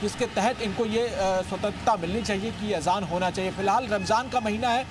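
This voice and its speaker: A man's voice: sits high, fairly quick. A man working as a field reporter, giving a live, extemporised news report from a city roadside. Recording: noisy outdoor street with traffic. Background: traffic and street noise.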